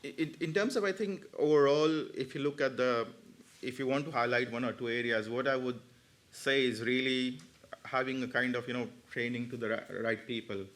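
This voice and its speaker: A man answering off the cuff as an expert panel speaker, explaining haltingly and often circling back to rephrase. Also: medium pitch, fairly bright tone.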